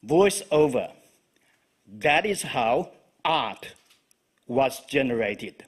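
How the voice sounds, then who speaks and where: A man with a Chinese accent, whose first language is Chinese, giving a live poetry reading in a halting way with frequent fillers. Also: medium pitch, sing-song, slightly rough. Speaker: poet.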